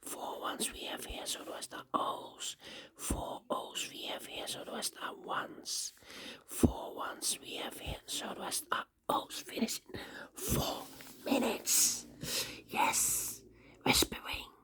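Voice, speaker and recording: A puzzle instructor who has lost their voice, whispering hoarsely while talking through a puzzle solution and repeating rule phrases while counting cells aloud. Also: slow pace, sharp tone.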